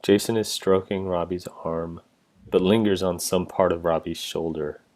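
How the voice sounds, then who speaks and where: A man's voice: deep voice, fairly even intonation, moderate pace, a little mellow. A man narrating a staged play reading, reading out the stage directions as scripted narration.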